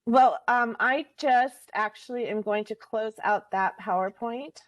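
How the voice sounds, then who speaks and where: A woman's voice: on the high side, unhurried, poor audio. A woman co-facilitating an online meeting, talking casually to the participants as she handles the technical side. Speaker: co-facilitator running the slides. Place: online conference call.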